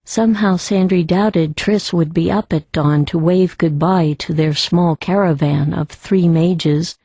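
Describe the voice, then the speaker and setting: Female audiobook narrator: slow, measured storytelling, soft dark tone, dry close sound. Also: lowish voice, fairly even intonation, no room sound.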